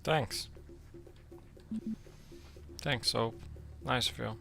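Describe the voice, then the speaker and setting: A man's voice: mid-range voice, very slow, creaky, bright, forceful tone, soft background music. A man with a Serbian accent, a native Serbian speaker, chatting casually on a live game stream, thanking and answering viewers and laughing often. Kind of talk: casual live-stream chat while gaming.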